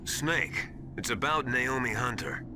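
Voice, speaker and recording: A man playing an earnest, secretive military informant in a dramatic video game, hushed and hesitant as he confides. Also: medium pitch, lively intonation, measured pace, close to an everyday voice. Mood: secretive, worried. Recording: loud music bed under voice.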